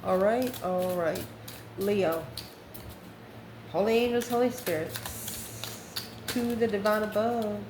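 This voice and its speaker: In an echoey room with clear background noise, a woman talks her way through a tarot reading as the host. She speaks at a middle pitch in a chatty, informal way, drifting into asides as she goes. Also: slow pace, pauses often. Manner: chatty, informal, chuckles often, rambling asides. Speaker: tarot reader and channel host. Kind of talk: casual, conversational tarot reading.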